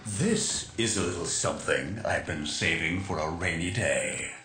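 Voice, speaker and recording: An actor voicing a calm, methodical tech genius of a rebel crew in a video game, speaking in a measured, analytical way. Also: male voice, low-pitched. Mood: calm, focused. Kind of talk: video game character acting. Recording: echoing voice under loud music.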